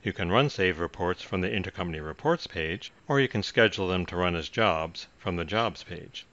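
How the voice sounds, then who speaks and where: Casting: male tutorial narrator, low-pitched, measured delivery, very dry and slightly muffled recording. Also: animated, fairly bright tone, no room sound. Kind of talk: scripted software tutorial narration.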